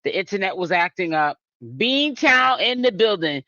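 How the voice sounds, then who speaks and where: A man's voice: high voice, very animated, normal speed, sharp tone, no echo, highs cut off. A man from Boston hosting a casual live-stream commentary, chatting straight to his viewers. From Boston, Massachusetts. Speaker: YouTube commentary host.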